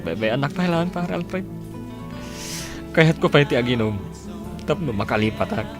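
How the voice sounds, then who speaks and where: A heartbroken young man in a radio soap played by an actor, drinking away his pain. His mid-pitched voice swings widely with anguish, over a strong musical bed. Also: animated, normal speed, over music, natural voice. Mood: anguished, bitter. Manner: anguished, emotional. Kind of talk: radio drama acting.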